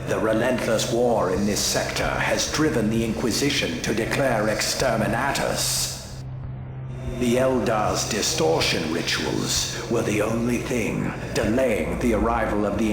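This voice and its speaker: Slow, gravelly, sinister villain. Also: male voice, fairly low pitch, lively intonation, background noise. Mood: taunting. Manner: theatrical, taunting, savouring each phrase. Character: smug, sinister traitor warlord savouring doom. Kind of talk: video game cutscene villain acting. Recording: echoing voice under loud music. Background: loud dramatic music.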